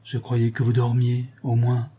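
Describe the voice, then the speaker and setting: A man's voice: mid-range voice, completely flat delivery, a little slow, mellow, husky. A man voicing a weary, exasperated poor father in a storybook reading, pleading and scolding. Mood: weary, hushed. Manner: pleading, scolding, raising his voice.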